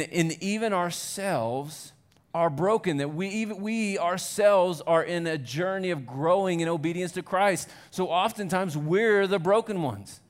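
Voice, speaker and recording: A pastor preaching a Sunday sermon in a church, conversational and self-deprecating, building to earnest fervor. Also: male voice, on the high side.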